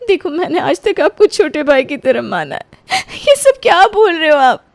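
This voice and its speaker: A woman acts out a young daughter-in-law in a dramatic story. Her voice is high and emotional, polite and deferential with an anxious, pleading edge. The sound is dry and close. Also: big pitch swings, moderate pace, gravelly, dry recording, exaggerated voice. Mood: alarmed, pleading.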